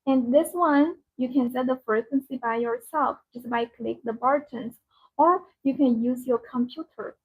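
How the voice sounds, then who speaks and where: A woman hosting a live product demonstration, speaking in halting, repetitive, non-native phrasing. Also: sits high, slightly rough. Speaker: live-stream sales host demonstrating a product. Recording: dull, band-limited live-stream audio.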